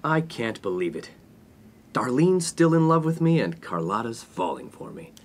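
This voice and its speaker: A man's voice: mid-range voice, very animated, normal speed. A young man playing a smug, pompous, oblivious college playboy in a comedy radio play. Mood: smug, unfazed. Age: young adult. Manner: pompous, self-important. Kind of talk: 1950s-set comedy radio play acting.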